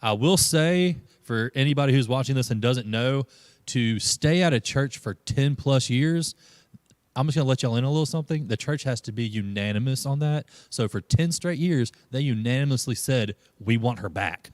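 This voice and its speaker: A man's voice: animated, moderate pace, darkish tone, no echo. A man, a youth pastor hosting a playful live-stream interview chat. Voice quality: low voice. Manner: playful, joking, laughs often.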